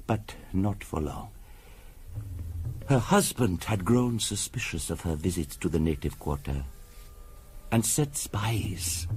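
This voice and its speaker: An actor playing a solemn, courteous mystic in a radio drama, speaking in a measured, formal, portentous storytelling manner. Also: male voice, fairly low pitch, a little mellow, a little hiss or hum. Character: solemn, courteous male mystic recounting a family curse. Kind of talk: 1970s radio drama acting.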